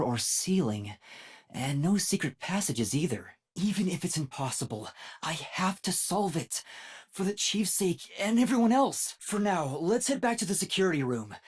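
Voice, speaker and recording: A man's voice: on the high side, slightly rough, exaggerated voice. A man voicing an earnest, timid young male detective in a video game, with a light boyish voice and a hesitant, thoughtful way of thinking aloud. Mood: determined, urgent. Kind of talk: video game voice acting, investigative monologue.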